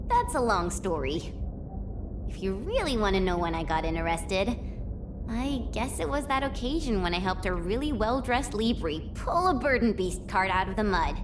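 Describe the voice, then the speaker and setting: A woman playing a cheerful, eager, playful young swordswoman in a video game, expressive and chatty. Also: mid-range voice, background noise. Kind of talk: video game character voice lines. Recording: music under the voice.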